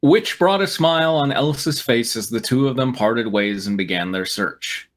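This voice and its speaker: A man's voice: mid-range voice, normal speed. Guy with a mid-range voice narrating fanfic, kinda mock dramatic, throws in wry side comments. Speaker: reader and riffing co-host. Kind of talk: comic read-aloud of fan fiction with asides.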